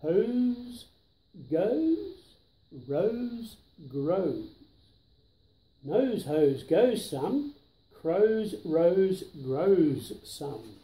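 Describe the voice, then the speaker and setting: An older man reading a children's picture book aloud with deliberate, emphatic delivery of the rhymes, recorded in a living room. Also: high-pitched, big pitch swings, warm tone, reverberant. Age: 60s or 70s.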